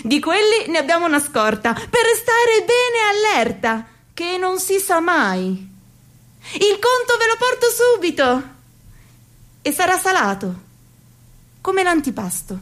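A woman's voice: very high-pitched, big pitch swings, a bit bright, exaggerated voice. An actress in her thirties playing a bitter, ironic young waitress in a theatrical monologue. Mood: sardonic. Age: 30s. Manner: sarcastic, measured delivery. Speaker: actress in a radio theatre piece.

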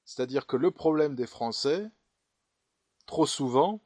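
Casting: male host, dry close sound, halting casual delivery. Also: mid-range voice, expressive, a little mellow, dry recording. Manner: hesitant, frequent fillers. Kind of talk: casual panel discussion.

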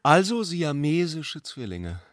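A man's voice: fairly high pitch, very animated, slow, dry recording, clean studio sound. Eloquent, ironic man, an intellectual brother. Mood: playful irony. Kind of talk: literary radio drama.

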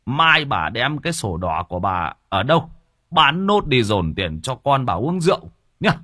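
Man voicing a furious old father, harsh and husky, sharp, wildly swinging pitch. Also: sing-song, moderate pace, no echo, studio quality. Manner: cursing, harsh ranting. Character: bitter old father, cursing his drunk son.

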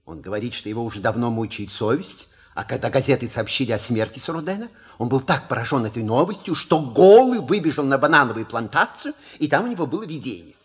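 A suave manservant in a stage-style audio comedy. His husky voice moves freely up and down as he delivers polished, ironic courtesies with sly restraint. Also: mid-range voice, animated, fast, hardly any pauses. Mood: dry, polite. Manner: urbane, ironic, elaborately polite. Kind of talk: theatrical radio play acting.

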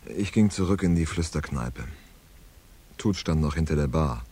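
An actor playing a laconic, world-weary hard-boiled man in a radio crime play, understated and deadpan. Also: extremely low pitch, normal speed, husky, exaggerated voice. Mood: deadpan, reflective. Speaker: narrator and lead character in a radio crime play. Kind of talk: scripted hard-boiled first-person narration and dialogue.

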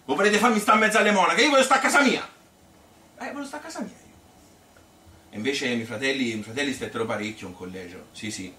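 A man's voice: mid-range voice, expressive, fast talker, pushed and bright, reverberant, distant mic, slight background noise. An actor playing an elderly Tuscan grandmother who reminisces in a rambling, repetitive, colloquial way, as a theatrical monologue. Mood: animated quoting. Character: elderly Tuscan grandmother Annunziata reminiscing about her hard childhood. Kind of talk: theatrical monologue, spontaneous spoken storytelling.

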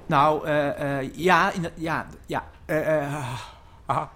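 A man's voice: a little high, steady tone, bright, forceful tone. An actor playing a flustered, evasive man who stammers and halts defensively in a radio drama. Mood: nervous, evasive. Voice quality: stammering, halting. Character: flustered, aggrieved male builder running a new peepshow.

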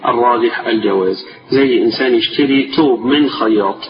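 A man with an Egyptian accent teaching a religious lesson. His voice is tired and strained. He reads a text slowly, then explains it in colloquial speech and repeats the key phrases. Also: medium pitch. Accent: Egyptian Arabic. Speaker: sheikh teaching a class. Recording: distant, echoey, dull-sounding lecture recording with music.

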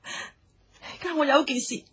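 A woman's voice: high-pitched, slow pace, a bit bright. An actress with a Hong Kong accent playing a coy, cautious young woman in a radio drama, hesitant and pleading. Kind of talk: Cantonese radio drama acting.

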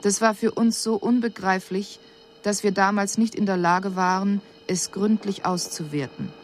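An actress playing an analytical alien envoy, giving a formal report in a measured, detached manner. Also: female voice, medium pitch, steady tone, a little hiss or hum, lightly acted. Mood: detached, puzzled. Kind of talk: formal scripted report in radio drama.

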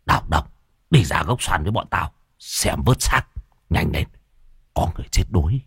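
A brash older ghost catcher, voiced by a male narrator in a spoken comic horror tale. He talks low and gruff, blustering in short rude bursts, close and dry. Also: lively intonation, moderate pace, a bit bright, dry recording, full character voice. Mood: brisk, urgent. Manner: blustering, curt.

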